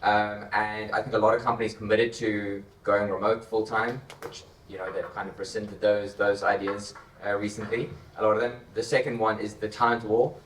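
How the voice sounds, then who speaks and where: A South African man on a conference stage talks in a low, unhurried voice, often repeating words while he works out his point. The sound comes from a distance, full of hall echo. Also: slight background noise. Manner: relaxed, thinking aloud with repeated words. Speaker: panelist, startup co-founder. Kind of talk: conference panel talk. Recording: echoey hall, mic heard from far off.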